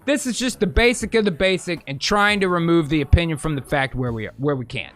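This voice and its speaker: High, bright-voiced American man rambling. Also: animated, brisk. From United States. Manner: rambling, profane, thinks aloud. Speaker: host. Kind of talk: casual livestream commentary.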